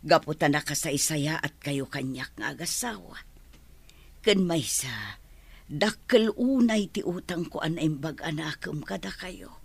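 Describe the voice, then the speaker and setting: A woman's voice: low-pitched, expressive, unhurried, creaky, slightly rough, heavily acted. A woman playing a meek, dutiful and grateful young household servant in a radio drama, pleading and deferential. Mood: meek, reassuring.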